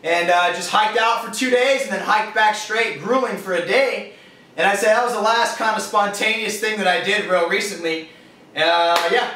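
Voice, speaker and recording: Male, twenties, Californian accent, rambling casual interviewee, high voice, heard from a distance in a strongly reverberant space. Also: normal speed, sharp tone. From California, USA. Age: 20s. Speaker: interviewee, fitness business owner. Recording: echoey, distant microphone.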